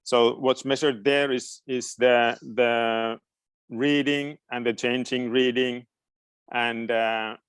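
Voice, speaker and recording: A middle-aged native Finnish man speaks at a slow pace with a smooth, clear voice in a dry recording. He answers informally as an education expert, often pausing to search for words. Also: mid-range voice, fairly even intonation, dry recording. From Finland. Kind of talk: informal question-and-answer talk.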